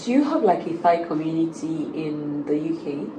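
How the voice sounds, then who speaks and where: A woman's voice: low-pitched, lively intonation, normal speed. A woman interviewer asking questions in a casual, conversational way. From a very warm country. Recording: echoey room with clear background noise.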